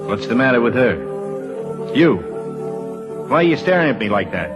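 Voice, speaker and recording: A man playing a tough, suspicious American loner with a clipped, gruff way of talking in a 1940s radio drama. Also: medium pitch, very animated, moderate pace, highs cut off, music in the background, natural voice. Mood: brusque. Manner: clipped, gruff, brusque orders. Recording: old radio broadcast, long reverb.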